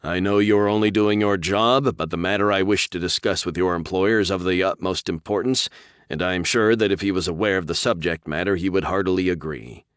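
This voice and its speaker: Guy doing a smooth talking confident guy, low voice, polite and measured. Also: fairly even intonation, fairly bright tone, no room sound, clean studio sound. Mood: persuasive. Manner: measured, courteous, persuasive. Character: confident, persuasive man on a mission. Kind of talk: audiobook character voice.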